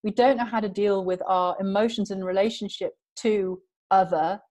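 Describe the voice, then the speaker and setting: A woman's voice: mid-range voice, fairly even intonation, normal speed, a little mellow. Woman, interview guest, earnest, emphatic, long flowing sentences. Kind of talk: passionate conversational interview.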